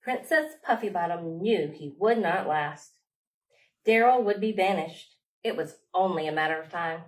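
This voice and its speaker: A woman leading a storytime for young children, reading aloud expressively with dramatic pauses, like a children's librarian. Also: on the low side.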